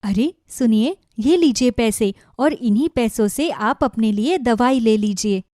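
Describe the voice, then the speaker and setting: A woman's voice: on the high side, unhurried, dark tone, no echo, clean studio sound, close to an everyday voice. A woman voicing a patient, practical village wife in a cartoon story, reassuring and sensible. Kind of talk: Hindi cartoon moral-story dubbing.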